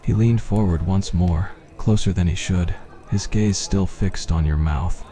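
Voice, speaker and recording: A man's voice: low-pitched, a little slow, dark tone. A man reading a scripted fantasy roleplay as ASMR story narration.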